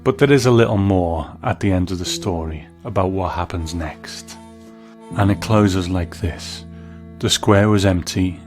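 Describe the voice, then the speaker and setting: A man with a low voice presents as a host, narrating a scripted commentary on old television and radio. Soft music plays lightly behind him.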